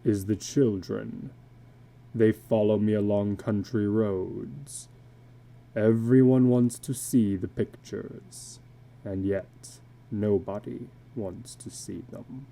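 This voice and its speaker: A man's voice: on the low side, fairly even intonation, creaky, warm tone, pitched below the performer's own voice. A man voicing a weary, middle-aged tattooed carnival drifter in a storybook reading, speaking in a heavy, resigned manner. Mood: haunted, weary. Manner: slow, heavy, resigned delivery.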